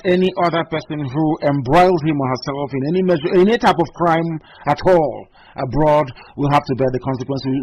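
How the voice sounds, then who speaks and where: A man hosting a live call-in radio show, talking forcefully and repeating himself as he urges callers on. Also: fairly high pitch, dull audio. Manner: forceful, repetitive, urging callers on. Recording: faint noise, live radio stream.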